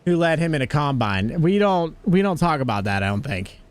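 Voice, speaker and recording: A young man with an American accent hosts a gaming livestream, chatting loosely with viewers and a friend. His voice sits a little on the high side. He talks in a relaxed, joking way into a desk mic. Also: a little fast, clear, resonant tone. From United States. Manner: chatty, greets viewers, jokey. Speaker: livestream host. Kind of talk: casual livestream chat while gaming.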